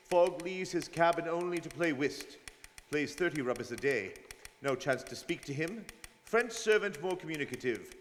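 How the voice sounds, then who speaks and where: A man's voice: fairly high pitch, slight background noise, close to an everyday voice. A man playing a suspicious, self-assured Scotland Yard detective in an old-time radio drama. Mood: brisk, reporting. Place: performed live in a studio. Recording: long hall reverb on stage voices.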